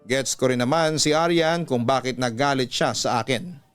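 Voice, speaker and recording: A man's voice: mid-range voice, moderate pace, smooth. A man with a Filipino accent narrating a scripted, dramatic letter as a radio storytelling host. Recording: music behind the voice. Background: background music.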